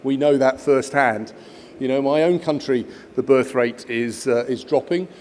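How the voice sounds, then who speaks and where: A man's voice: medium pitch, a little slow, darkish tone, a little hiss or hum. A man in his fifties, raised in Kenya, giving a conference speech with many fillers and restarts. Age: 50s. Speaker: guest speaker at an education conference.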